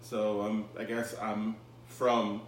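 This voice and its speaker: An American man chats casually as a podcast co-host, dropping wry quips in a halting way. He sounds set back from the microphone in a roomy, echoing space with a faint hum. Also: fairly low pitch, completely flat delivery. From United States, moved around, lately South Carolina. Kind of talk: casual joking chat. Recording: roomy echo, distant mic, faint hum.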